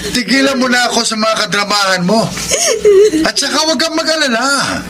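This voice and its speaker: An actor playing a scornful, cruel husband in a Filipino radio drama, taunting and sneering, with a Filipino accent. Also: male voice, very high voice, animated, brisk. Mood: mocking, dismissive. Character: scornful, cruel, cheating husband of a long marriage. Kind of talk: melodramatic Tagalog radio drama acting. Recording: loud music under the voice.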